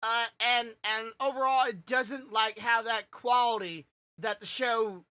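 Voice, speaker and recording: A woman's voice: a little high, steady tone, a little slow, pushed and bright. A woman co-hosting a podcast in casual chat, rambling with restarts and fillers. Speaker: podcast co-host.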